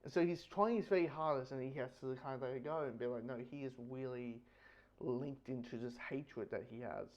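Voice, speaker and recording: A man giving a casual, rambling review, with halting delivery, frequent false starts and repeated words. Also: mid-range voice. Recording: dull lo-fi microphone sound.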